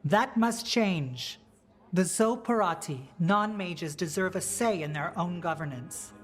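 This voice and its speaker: A woman's voice: lowish voice, animated, takes it slowly. Resolute rebel stateswoman over music. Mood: earnest, measured. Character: principled, idealistic woman rebel leader and seasoned politician. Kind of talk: dramatic fantasy game acting. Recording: music under the voice.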